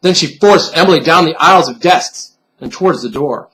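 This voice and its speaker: A man's voice: fairly high pitch, animated, moderate pace, fairly bright tone, rough recording. A man reading a novel aloud as a dramatic storyteller, in audiobook narration. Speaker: author reading their novel.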